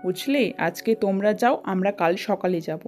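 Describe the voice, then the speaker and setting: Practical family father, acted by a woman, instructing, middle pitch, cartoon story acting. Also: lively intonation, a little fast, a little mellow, faint music behind, natural voice. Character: practical father of a schoolgirl, head of household.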